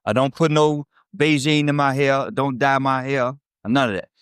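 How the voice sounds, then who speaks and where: A man's voice: medium pitch, steady tone, moderate pace, smooth, no room sound, studio quality. A man in his fifties from Chicago's South Side, with a Chicago accent, giving a blunt, profane recovery testimony to a meeting, often checking in with "right?". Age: 50s. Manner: blunt, streetwise and profane, with frequent "right?" asides. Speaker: recovery speaker.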